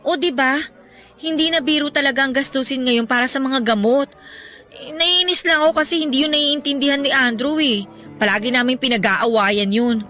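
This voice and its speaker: A woman's voice: sits high, moderate pace, bright, forceful tone, faint music behind. A woman with a Filipino accent playing a stressed young breadwinner who confides her heartbreak plaintively in a Tagalog radio drama. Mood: troubled, frustrated.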